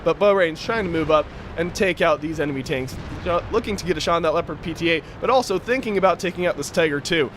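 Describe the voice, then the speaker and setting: Raspy-voiced young man commentating gameplay. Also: a little high, quick pace, hardly any pauses, background noise. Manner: casual and chatty, with frequent fillers. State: raspy, just woke up. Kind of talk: game replay commentary.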